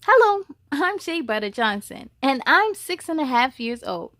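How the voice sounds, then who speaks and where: A woman voicing an excited, sassy six-year-old schoolgirl in a storybook, with playful, emphatic exclamations. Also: high voice, unhurried, no room sound, heavily acted. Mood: proud, chirpy.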